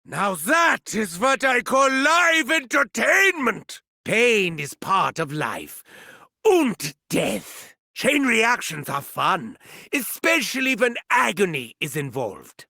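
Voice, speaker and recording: An actor playing a gleeful, sadistic mad scientist villain in a video game, theatrical and taunting, with a German accent. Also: male voice, high voice, huge pitch swings, slow pace, creaky, bright, forceful tone, no room sound, studio quality, exaggerated voice. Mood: sadistic relish. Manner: theatrical, swooping from gleeful shrieks to sinister murmurs. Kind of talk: video game character voice lines.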